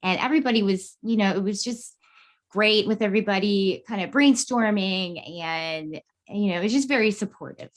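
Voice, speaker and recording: A woman's voice: mid-range voice, moderate pace. A woman chatting casually as a podcast guest, candid, informal and enthusiastic. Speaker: podcast guest, beginning writer. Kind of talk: casual chat.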